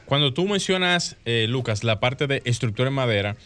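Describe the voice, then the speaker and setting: A man co-hosting a radio show, chiming in with quick remarks. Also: mid-range voice, lively intonation, a bit bright, clean tone. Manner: chimes in with brief agreements. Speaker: radio co-host.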